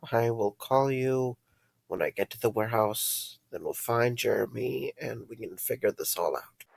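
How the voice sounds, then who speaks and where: An actor playing a young night guard, sarcastic but caring and a little weary, in an audio drama. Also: male voice, mid-range voice, slow, vocal fry, no echo, rough recording.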